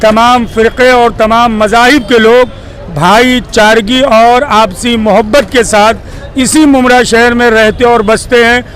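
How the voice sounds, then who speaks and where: A man's voice: very high-pitched, fairly even intonation, a little slow, fairly bright tone. A man, working as a news channel reporter and speaking formally and courteously in an outdoor interview. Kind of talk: street interview and sign-off.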